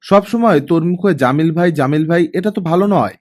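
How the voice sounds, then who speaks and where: A man's voice: sits high, lively intonation, quick pace, voice pushed up. A man voicing a stern, guarded young woman, an elder sister with a curt, admonishing manner, in an audiobook story. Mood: stern, reproachful.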